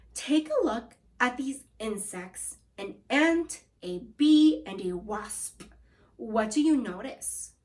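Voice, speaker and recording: A woman teacher reading a nonfiction book aloud to young children and asking them questions. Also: a little high. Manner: slow, deliberate, addresses kids as scholars. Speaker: second grade teacher. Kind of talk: read-aloud lesson for children.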